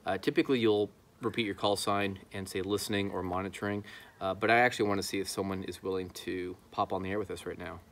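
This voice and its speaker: A man in his thirties with a slightly low voice hosts a casual vlog. He explains things to the camera in a conversational way while sitting outdoors. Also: steady tone, fast, fairly bright tone. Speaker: YouTube host, amateur radio hobbyist. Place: outdoors in a backyard.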